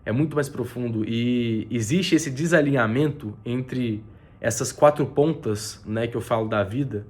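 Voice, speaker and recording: A man's voice: medium pitch, measured pace, a little mellow. A man speaking as a business mentor in a casual, earnest motivational talk mixing faith and money, his voice stuffy from a heavy cold, with many fillers and restarts. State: nasal and congested from a cold. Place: spot with a view over the city.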